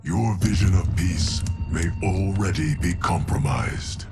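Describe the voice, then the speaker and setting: Dutiful timeless guardian, deep voice, stoic, deliberate, formal, game cutscene, clear background music. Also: male voice, exaggerated voice. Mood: grave warning. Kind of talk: cinematic video game drama acting.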